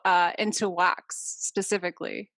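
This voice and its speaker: A woman's voice: on the low side, steady tone, unhurried, vocal fry, fairly bright tone, dry recording. A woman hosting an interview, asking questions in an upbeat, encouraging way. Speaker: interviewer, host. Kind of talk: casual interview.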